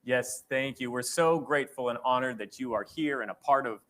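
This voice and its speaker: A man's voice: medium pitch, fairly quick, fairly bright tone. Man giving a gracious formal welcome. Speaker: co-host.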